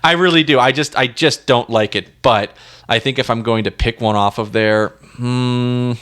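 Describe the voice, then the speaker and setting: A man's voice: medium pitch, moderate pace, fairly bright tone, clean tone. A man co-hosting a casual music discussion, talking in a hesitant, self-deprecating, indecisive way. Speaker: music podcast co-host.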